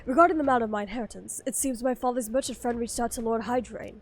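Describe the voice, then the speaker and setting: Polite young noble guy asking for help, kinda high voice, as a woman streamer reads him out. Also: quick pace, slight background noise, close to an everyday voice.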